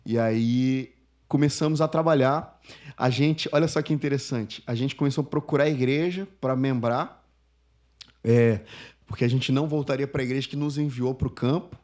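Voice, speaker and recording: A man in his thirties, a native Spanish speaker, telling his story as a podcast guest in a casual, animated way. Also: mid-range voice, normal speed. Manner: chatty, animated storyteller, laughs while telling.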